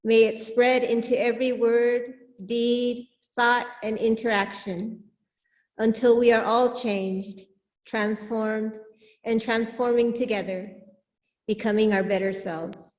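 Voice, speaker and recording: Female service leader, slightly high voice, formal reading, reverberant hall. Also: level tone, slow pace. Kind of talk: read benediction and announcements. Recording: echoey hall with long reverb.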